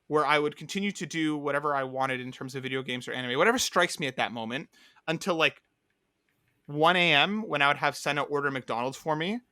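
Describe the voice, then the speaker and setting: Young male podcast co-host, upbeat rambler, bright tone, dry close sound. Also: on the high side, smooth, no echo. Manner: rambling, upbeat storytelling with many fillers. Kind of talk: casual podcast chat.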